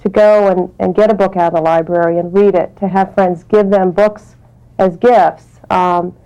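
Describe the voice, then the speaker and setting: Smooth, mellow woman explaining in an interview. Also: fairly low pitch, steady tone, normal speed. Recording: dull, muffled interview sound.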